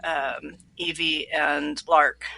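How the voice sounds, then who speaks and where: Bright, dry-sounding woman discussing books. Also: deep voice, level tone, very slow, vocal fry, dry recording, low-quality recording. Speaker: podcast co-host discussing a book. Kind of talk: casual book discussion chat.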